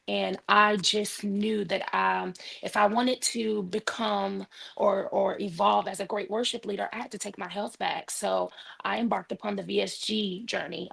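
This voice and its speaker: An earnest woman in her forties telling her story in a panel conversation. Her voice has heavy fry and a husky, breathy edge. She talks in steady, heartfelt testimony on a remote call. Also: mid-range voice. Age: 40s. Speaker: worship leader and singer. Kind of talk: casual panel chat.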